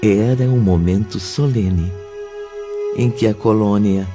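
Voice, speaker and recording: Male narrator for a spiritist audio drama, very slow, dull lo-fi sound with music underneath. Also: on the low side. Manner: slow, measured storytelling.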